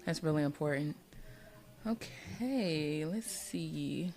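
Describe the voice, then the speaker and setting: A woman's voice: sits low, slow, vocal fry, a little mellow, a little hiss or hum. A woman hosting a casual podcast chat, asking easygoing follow-up questions and affirming her guest's answers. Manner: encouraging, affirming follow-up prompts. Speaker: podcast host.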